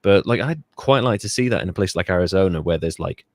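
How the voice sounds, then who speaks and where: A man's voice: low-pitched, expressive, fast, dry recording. A man hosting a podcast, chatting casually and rambling, often stopping himself mid-thought and laughing. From England. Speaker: podcast host.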